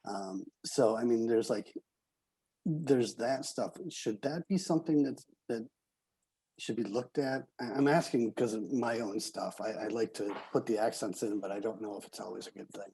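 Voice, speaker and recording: Low, mellow, slightly husky man. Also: moderate pace, no echo, rough recording. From United States. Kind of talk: casual group discussion.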